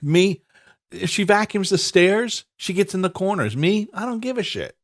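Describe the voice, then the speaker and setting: A man's voice: sits high, lively intonation, moderate pace. A stuffed-up man with a slightly raised voice rambles through casual podcast banter. He swears freely and stumbles over restarts, often laughing. His tone stays loose and chatty. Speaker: podcast co-host.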